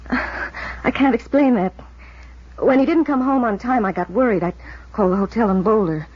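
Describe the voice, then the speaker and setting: An actress playing the anxious, guarded American wife of a missing man, halting with restrained worry, in 1950s radio drama style. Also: on the high side, lightly acted. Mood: worried, defensive. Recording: dull vintage radio recording.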